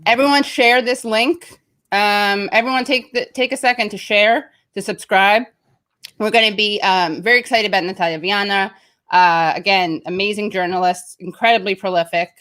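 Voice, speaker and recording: A woman's voice: mid-range voice, fairly even intonation, moderate pace, fairly bright tone. A woman hosting a casual online talk show, chatty and self-mocking, with a slightly nasal voice. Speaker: show host. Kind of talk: casual livestream banter.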